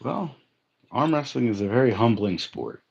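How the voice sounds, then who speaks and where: A man's voice: mid-range voice, lively intonation, moderate pace, gravelly, breathy edge, muffled recording. A man in casual, joking livestream chat, talking in a blunt, wisecracking way. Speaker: livestream co-host or guest.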